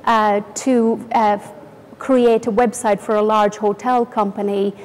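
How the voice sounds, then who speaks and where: A middle-aged woman with an Irish accent, a company founder telling her story in a relaxed interview with plenty of restarts. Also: mid-range voice, measured pace. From Ireland or UK. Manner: chatty, self-deprecating, many restarts. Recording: reverberant room.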